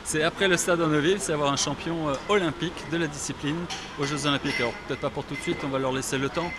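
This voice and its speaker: Male official, informal remarks, fast, raised pitch, heavy music. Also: steady tone. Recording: loud music behind the voice.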